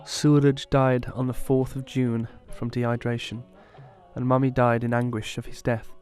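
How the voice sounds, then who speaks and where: A man's voice: mid-range voice, flat delivery, normal speed, music in the background, lightly acted. A man playing a bereaved young survivor, giving dramatised first-person narration of a family tragedy. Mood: sombre.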